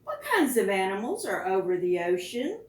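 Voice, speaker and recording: A woman librarian reading picture books aloud to preschoolers in an expressive way, often putting questions to her young listeners. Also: medium pitch, normal speed, slight background noise. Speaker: children's librarian reading at storytime. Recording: roomy echo, distant microphone.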